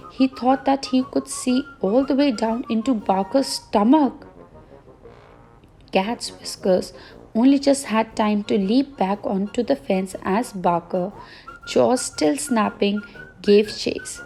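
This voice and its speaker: Bedtime storyteller: a woman reading very slowly, with a mellow, higher voice, and soft music underneath. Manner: gentle, unhurried reading.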